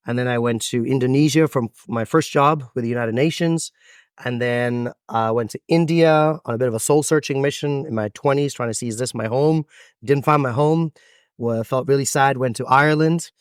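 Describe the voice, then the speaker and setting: A man's voice: mid-range voice, clear, resonant tone, dry recording. Male guest, thirties, American accent, expansive storyteller, close dry mic. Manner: expansive, animated storytelling with dramatic pauses. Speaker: podcast guest, event MC. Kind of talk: storytelling interview answers.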